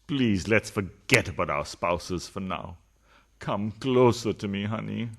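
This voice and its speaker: A man acting an impatient philandering husband in a radio farce, cajoling like a smooth talker. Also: lowish voice, measured pace, a bit bright, heavily acted. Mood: coaxing. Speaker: radio play actor. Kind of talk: farcical radio play acting.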